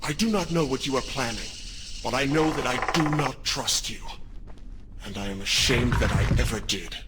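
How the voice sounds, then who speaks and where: A man's voice: medium pitch, normal speed, natural voice. Earnest young prince over background noise, acted by a man. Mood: indignant. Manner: earnest, formal wording. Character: young heroic prince, regretful, proud, earnest. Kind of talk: dramatic video game acting. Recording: noisy game capture with sound effects.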